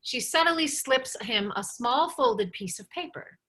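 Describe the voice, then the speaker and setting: Woman reading stage directions at a table read, crisp bright higher voice. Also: lively intonation, unhurried. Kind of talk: scripted narration at a table read.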